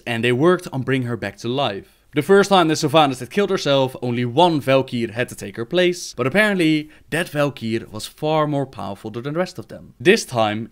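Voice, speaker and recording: A man reading scripted video game lore as a narrator, in a storytelling manner. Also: mid-range voice.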